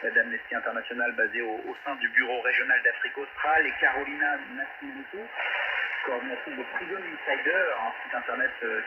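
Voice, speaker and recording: Male radio interviewer through heavy shortwave static. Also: medium pitch. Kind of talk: live panel interview. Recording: noisy dull shortwave radio reception. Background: strong radio static.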